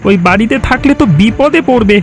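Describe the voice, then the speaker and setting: Cartoon friend, male, escaped captive, very high gravelly voice, a man acting it, music bed. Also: quick pace. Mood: urgent. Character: kidnapped male friend, frightened and urgent.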